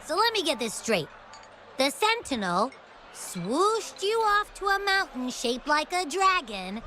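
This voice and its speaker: A bright, bubbly young game heroine who is always hungry. Her voice is high and sparkling, swooping up and down. She talks slowly and playfully, with a boastful streak. Soft game music plays behind. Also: exaggerated voice. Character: cheerful food-loving young woman companion. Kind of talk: video game cutscene voice acting. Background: faint game music.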